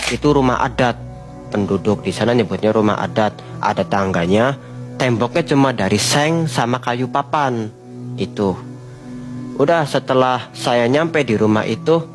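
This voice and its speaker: A man, a guest telling a long personal story in an unhurried, vivid way. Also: mid-range voice. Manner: unhurried, vivid storyteller who often addresses the host. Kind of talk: storytelling in a podcast chat. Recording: music playing behind.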